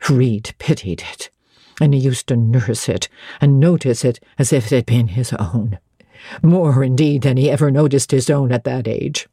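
A narrator puts on an ailing older gentlewoman from a storybook, bitter and full of grievance. She mutters in a querulous, scornful, agitated way. Her voice is dark and husky, recorded dry and close. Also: medium pitch, no echo, voice pushed down.

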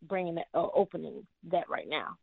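A woman's voice: fairly low pitch, a little fast, vocal fry, no room sound. A twenty-one-year-old woman calling in as a guest, polite and respectful. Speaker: guest, family business owner. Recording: tinny phone line.

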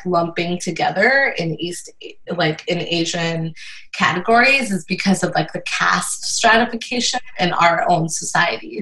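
A woman's voice: lowish voice, a bit bright. A young American woman, a community organizer, answering interview questions in a casual, thoughtful way, with lots of "like" fillers. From United States. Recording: echoey room recording.

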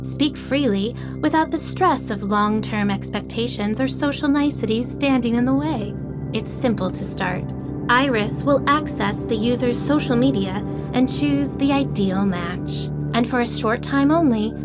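A woman's voice: on the high side, expressive, unhurried, loud music behind the voice. A woman playing a polite, relentlessly upbeat AI voice assistant with a scripted, salesy courtesy, in an audio drama. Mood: smooth, cheerful politeness.

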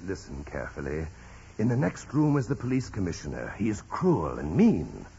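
An actor playing a stern, sceptical imperial prosecutor, husky and formally interrogating, in a 1940s radio drama. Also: male voice, sits low, huge pitch swings, moderate pace, warm tone, exaggerated voice. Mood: stern, pressing. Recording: dull vintage radio broadcast.

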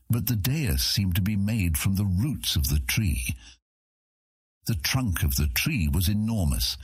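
A man's voice: low voice, breathy edge, no echo, clean studio sound. A man narrating a fantasy audiobook in a dramatic, scripted storytelling style.

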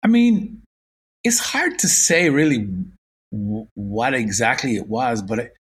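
A man in his fifties with a Limerick Irish accent, recalling his past as an interview guest in an unhurried, reflective way. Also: mid-range voice, lively intonation, husky. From Ireland. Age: 50s. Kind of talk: casual interview.

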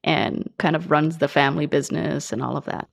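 A woman's voice: low voice, steady tone, brisk, vocal fry. A woman co-hosting a podcast, chatting in a light, playful way. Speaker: podcast co-host. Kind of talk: casual podcast chat.

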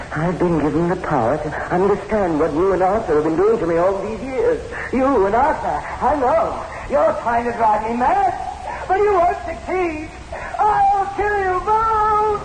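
A man's voice: sits high, a little slow. An actor playing a frightened, defiant man raving in a lift, in melodramatic, repetitive old-time radio horror style. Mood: hysterical rage. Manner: melodramatic, repeats himself frantically. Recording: noisy 1960s radio drama recording.

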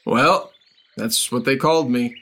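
A man's voice: medium pitch, a little slow, fairly bright tone. A man voicing a rambling, odd old hermit who mumbles absurd boasts.

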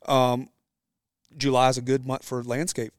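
A man in his early forties talking casually as a guest about running his businesses, in a relaxed, rambling way. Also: medium pitch, a little slow, no room sound, studio quality. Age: 40s. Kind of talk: casual conversation. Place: warehouse.

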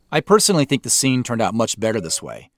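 American man narrating with expressive pitch. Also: brisk. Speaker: show creator and host. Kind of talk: scripted behind-the-scenes commentary.

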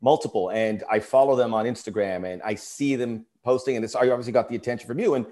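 A man's voice: medium pitch, fast talker, dark tone. A man hosting an interview, asking long and rambling questions full of restarts. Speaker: interview host. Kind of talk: casual interview talk.

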